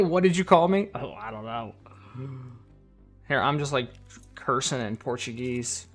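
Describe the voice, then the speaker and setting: A man's voice: medium pitch, a little slow, fairly bright tone, soft background music. A man chatting casually on a live stream, reading viewer donations and comments aloud. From United States. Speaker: card collector streamer.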